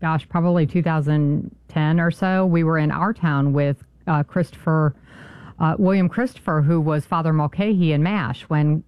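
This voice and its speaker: A woman's voice: low voice, moderate pace, clean tone, no room sound, dull audio. A woman from Michigan, a guest in a radio studio, chatting in an easygoing way and laughing readily. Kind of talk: casual radio chat.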